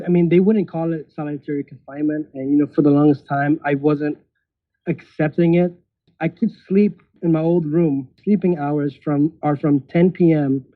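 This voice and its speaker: A man's voice: a little high, dry recording. A man in his thirties, a podcast guest speaking casually with hesitant restarts and nervous laughs. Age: 30s. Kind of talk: casual interview. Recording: tinny phone-like call audio.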